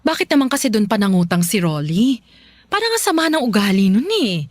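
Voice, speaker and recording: A woman acting as a kindly, concerned aunt in a Filipino radio drama, with a chatty, motherly and expressive way of talking. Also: mid-range voice, a little fast. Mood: disapproving, concerned.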